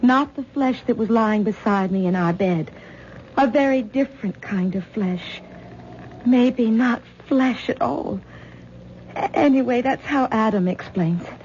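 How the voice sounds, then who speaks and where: A stammering, frightened young wife. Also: female voice, fairly high pitch, a little slow, darkish tone. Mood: tense. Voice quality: stammering, nervous. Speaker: troubled wife. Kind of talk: 1970s radio drama acting. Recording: dull old radio broadcast with faint hiss.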